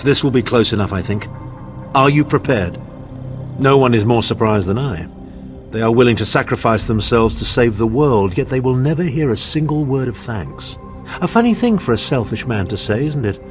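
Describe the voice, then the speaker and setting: A haughty male mage from a fantasy game, played by a man, speaks at a middling pitch. He is sardonic, pompous and impatient, clipping his phrases over prominent music. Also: lively intonation, normal speed, lightly acted.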